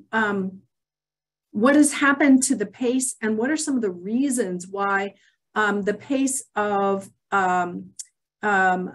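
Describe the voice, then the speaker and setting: Measured older woman moderating a video call. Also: medium pitch. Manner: measured, with "uh" fillers. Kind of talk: moderating a virtual panel. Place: office, indoors.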